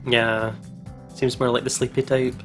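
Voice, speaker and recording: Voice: medium pitch, flat delivery, unhurried. A gaming video co-host chatting casually and reacting to a game in an easily amused way. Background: game soundtrack music.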